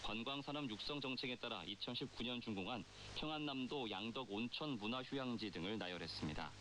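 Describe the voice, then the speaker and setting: A man reads scripted radio news in a formal, measured way, as an announcer. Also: medium pitch. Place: radio broadcast received over shortwave. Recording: noisy, hissy shortwave radio broadcast. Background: clear static hiss.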